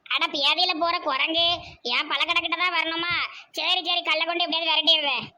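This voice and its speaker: A very high, bright female voice put on by a woman plays a loud village fruit seller in a comic cartoon. She speaks colloquial southern Tamil Nadu dialect and is cheeky, grumbling and theatrical. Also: steady tone, brisk, exaggerated voice. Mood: indignant.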